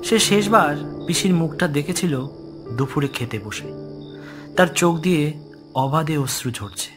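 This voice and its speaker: Guy reading a scary story, really slow n dramatic, music going behind him. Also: a little high, expressive. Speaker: story narrator. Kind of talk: dramatic horror story narration.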